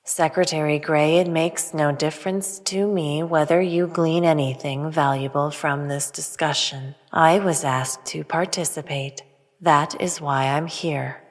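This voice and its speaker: Woman as slow, low-voiced otherworldly emissary, reverberant. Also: steady tone. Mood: detached. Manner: measured, formal, detached. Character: calm, aloof female emissary of a hidden ancient race. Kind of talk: sci-fi audio drama acting. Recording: long hall reverb on voice.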